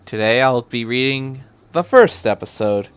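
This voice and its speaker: A man's voice: medium pitch, lively intonation, a little slow, clean tone, dry recording. A man hosting a podcast and reading fan fiction aloud as scripted narration.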